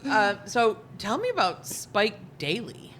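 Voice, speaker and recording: A woman's voice: mid-range voice, huge pitch swings, slow, fairly bright tone, slight background noise. A woman hosting a show, introducing guests and interviewing with encouraging little affirmations. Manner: encouraging, lots of small affirmations. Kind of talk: friendly interview and narration.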